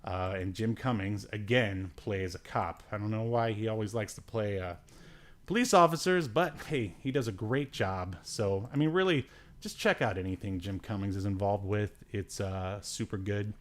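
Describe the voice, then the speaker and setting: Low-voiced man chatting casually about media. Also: moderate pace. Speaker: podcast host. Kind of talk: casual movie-review chat.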